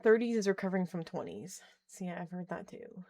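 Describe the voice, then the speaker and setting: A woman's voice: fairly low pitch, animated, a little fast, a little mellow. A woman in her thirties chatting casually on a live stream, laughing often and reading chat aloud while she tinkers with a keyboard at home. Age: 30s. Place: indoors at home, working on a keyboard.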